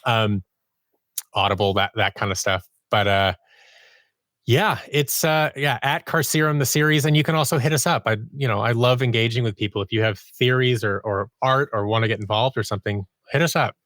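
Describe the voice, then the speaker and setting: A man's voice: medium pitch, fairly quick, a bit bright, no echo, studio quality. A man speaking as a podcast guest in casual interview chat, in a chatty, rambling way that often interrupts itself. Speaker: podcast guest, audio drama producer.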